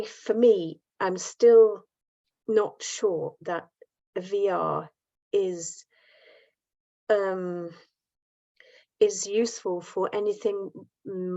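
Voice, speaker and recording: A woman with a lowish voice thinks aloud on a video call, with frequent restarts and easy laughs. The call audio sounds dull and lo-fi. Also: warm tone. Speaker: workshop participant. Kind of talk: online group discussion.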